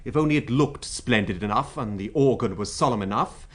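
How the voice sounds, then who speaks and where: A man's voice: mid-range voice, brisk, close to an everyday voice. A man acting in a radio drama with a British accent, playing a talkative, wryly amused royal secretary with an expansive, emphatic, rhetorical manner.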